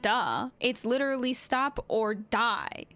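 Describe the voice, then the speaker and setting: A woman's voice: a little high, slow, a bit bright. A woman livestreaming a game, giving casual commentary with blunt, joking asides. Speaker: game streamer and amateur voice actor.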